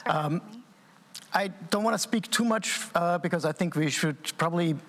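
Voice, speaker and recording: A man opens a talk with formal, somewhat hesitant welcoming remarks. His voice is a touch higher than usual and gravelly. The sound has a long, echoing reverb. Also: normal speed, a bit bright. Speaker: host and interviewer. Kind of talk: opening remarks at an online talk.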